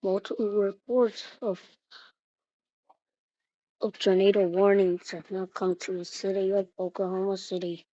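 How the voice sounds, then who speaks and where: A woman reading out an improvised mock emergency alert as the announcer, in a halting way with repeated phrases. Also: medium pitch, little pitch movement, warm tone, no room sound. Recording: muffled lo-fi recording.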